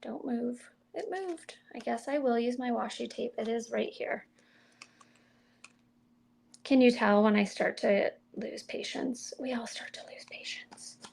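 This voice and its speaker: A woman with a slightly high, gravelly voice talks slowly and mildly as she demonstrates card-making on a stream. She thinks aloud in a casual, chatty way as she works. Faint clicks from her tools can be heard behind her. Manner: chatty, mild and soft-spoken, thinking aloud while working. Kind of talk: casual crafting livestream chat.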